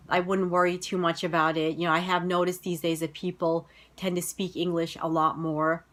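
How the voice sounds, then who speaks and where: A woman in her thirties with an American accent, telling personal stories to camera in a casual vlog, full of "you know" and "like.". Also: fairly low pitch. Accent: General American. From United States. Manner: chatty, many fillers like "you know" and "like". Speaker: vlogger.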